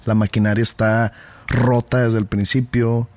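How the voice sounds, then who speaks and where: A man's voice: mid-range voice, little pitch movement, unhurried, a little mellow, no room sound. A man in a casual podcast chat, playful and wordy, building long joking metaphors. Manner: playful, wordy, teasing jokes.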